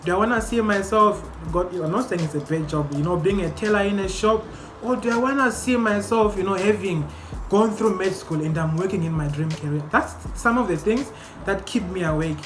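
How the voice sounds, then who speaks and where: A young man hosting a casual group discussion among medical students, asking questions in an animated way. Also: high-pitched, fairly quick. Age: young adult. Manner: animated, questioning, laughs often. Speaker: host. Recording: echoey room with music behind.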